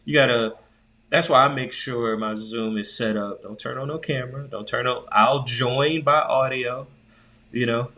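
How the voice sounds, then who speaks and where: A man co-hosting a podcast in casual chat, slangy and profane, telling things in an animated way and repeating words for emphasis. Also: mid-range voice. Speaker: podcast co-host.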